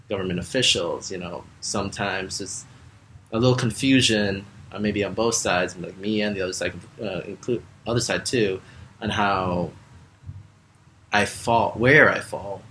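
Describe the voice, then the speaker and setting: A young American man speaking as a Peace Corps volunteer in a relaxed interview, with lots of fillers. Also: sits low, unhurried, a little hiss or hum. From United States. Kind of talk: casual interview answers.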